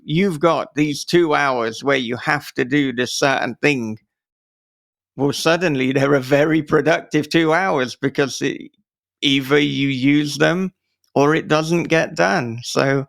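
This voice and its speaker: A male podcast host talks slowly and with care in a casual interview. His voice has a noticeable creak, and the sound is dry and close. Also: fairly high pitch, a bit bright, no echo.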